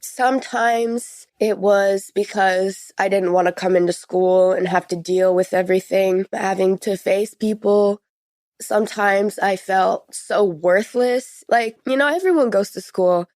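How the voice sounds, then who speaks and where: A woman's voice: medium pitch, a little slow, darkish tone, dry recording. Teenage girl, casual and candid. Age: late teens. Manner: informal, frank, frequent "like". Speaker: podcast guest. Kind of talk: personal interview, recounting experiences.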